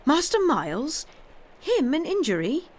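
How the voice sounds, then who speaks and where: A woman's voice: sits high, sing-song, a little slow, pitched above the performer's own voice. A woman voicing a simple, loyal servant woman in a storybook reading, plain-spoken, deferential and full of feeling. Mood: indignant. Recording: clearly noisy background.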